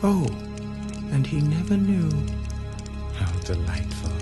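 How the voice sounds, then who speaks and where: An actor playing a cruel, sinister, mocking male villain in an animated video game. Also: on the high side, takes it slowly. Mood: gloating, mocking. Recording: music under game dialogue.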